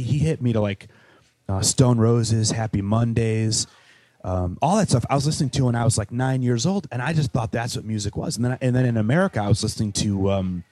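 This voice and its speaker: A man with a Chicago accent chats as an interview guest, his voice sitting a bit low. He rambles with lots of "you know" and "like", circling back on himself with eager enthusiasm. The sound is dry and close, with no room around it. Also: a little mellow, no echo. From Chicago, with Welsh roots. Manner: rambling, many fillers and restarts, enthusiastic. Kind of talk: casual interview chat.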